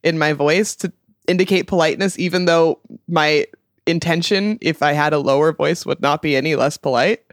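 A young woman from the United States chats casually, with a fairly low voice for a woman. She talks openly and self-consciously about how she sounds, recorded dry and close. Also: normal speed, no room sound. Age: young adult. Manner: chatty, self-aware about her voice. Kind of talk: casual podcast chat.

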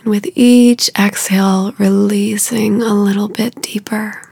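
A woman leads a guided meditation in a hushed, soothing voice. Her delivery is very slow and level, barely moving in pitch, and phrases trail off softly into breath. There is a gravelly fry in her tone, and the sound is close and unhurried. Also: fairly even intonation, dry recording.

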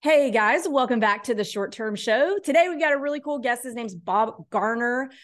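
A woman's voice: fairly high pitch, lively intonation, moderate pace. A woman hosting a podcast, upbeat and casual as she asks her guest questions. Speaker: podcast host.

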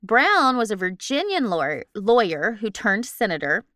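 Bright, clear-voiced woman telling history casually. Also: mid-range voice, big pitch swings, a little slow, no room sound, clean studio sound. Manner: informal, joking, swears. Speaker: podcast co-host telling history. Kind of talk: casual storytelling from notes.

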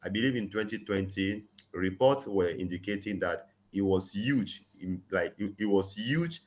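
A man talks in an earnest, conversational way. His voice sits very low, and he often drops in "you know" as he builds his case. He leans hard on his main points. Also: moderate pace. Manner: earnest, frequent "you know", rising emphasis on key points. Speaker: guest. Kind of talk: conversational interview, advocacy.

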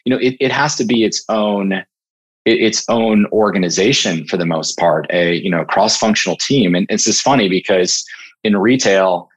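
A man's voice: fairly low pitch, moderate pace, husky, low-quality recording. A man speaking as an interview guest, explaining things in an informal, conversational way. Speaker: podcast guest, product evangelist in staffing software.

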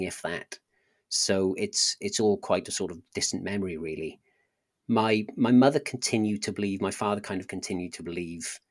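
A man with a Welsh accent, a podcast guest telling his life story in a hesitant, conversational way with frequent restarts. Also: sits low. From South Wales. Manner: hesitant, many restarts and ums. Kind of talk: conversational interview.

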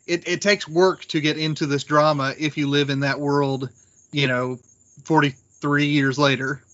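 A man discussing literature as a podcast panelist in a scholarly, deliberate, explanatory way. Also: fairly high pitch, little pitch movement, fairly bright tone, lo-fi sound.

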